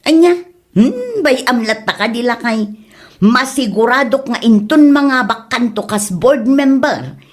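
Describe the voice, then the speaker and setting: A woman's voice: on the high side, animated, a little slow. A woman with an Ilocano accent playing a loyal, scolding politician's wife in a comedy drama. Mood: confident.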